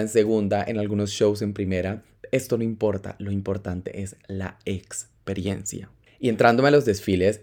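A man hosting his own podcast, speaking in a very low, mellow voice. He tells his story in an animated, chatty way and slips in the odd English phrase. His first language is Spanish. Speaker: podcast host. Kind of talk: casual solo podcast monologue.

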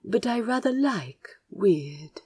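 A woman playing a gentle, smitten, politely teasing man in audio fiction, speaking with precise, soft phrasing. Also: medium pitch, lively intonation, slow pace, husky. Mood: shyly fond. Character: male Aziraphale, gentle, delighted, teasing, smitten know-it-all.

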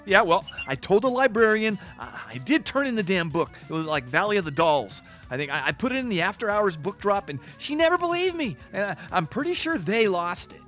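An actor as an irreverent male host of a comedy radio show. His voice sits high for a man. He rambles haltingly and sounds defensive and exasperated, with faint music around him. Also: very animated, a bit bright. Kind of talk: comic radio banter.

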